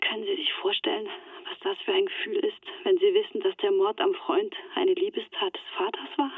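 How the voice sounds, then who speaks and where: A woman's voice: medium pitch, level tone, a little fast, breathy edge, no room sound, phone-quality sound. A young woman in hiding in a radio drama. She sounds distressed and confiding as she recounts events, through a thin, dry telephone filter. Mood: anguished. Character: shaken Turkish-Swiss woman in hiding, recounting betrayal. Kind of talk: radio play acting.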